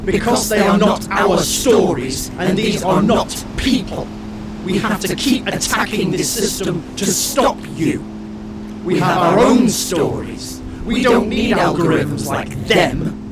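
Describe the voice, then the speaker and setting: A man playing a menacing, vehement dark entity bent on destruction in an audio drama, over loud music. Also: sits high, expressive, heavy background noise. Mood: menacing, resolute. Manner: vehement, accusatory, slow and deliberate. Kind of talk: audio drama villain acting.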